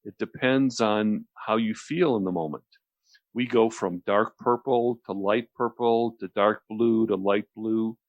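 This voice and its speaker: A man with a deep voice explains a relaxation technique conversationally as a radio host, often circling back and repeating phrases. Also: unhurried, no echo, lo-fi sound.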